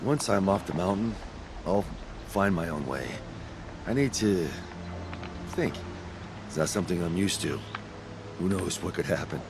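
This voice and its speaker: A man's voice: deep voice, animated, a little slow, creaky, long pauses, background noise, exaggerated voice. Weary, wry exiled tribesman. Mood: reflective.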